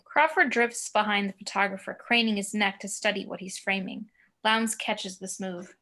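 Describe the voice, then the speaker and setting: A woman's voice: mid-range voice, moderate pace, sharp tone. A woman giving scripted narration of screenplay action and scene directions at a table read. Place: remote video call.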